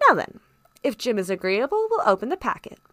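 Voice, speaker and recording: Woman as storybook gentleman doctor, genial, stately, shrewd, raised pitch, lively intonation. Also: a little fast, no room sound. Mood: brisk, reasoning.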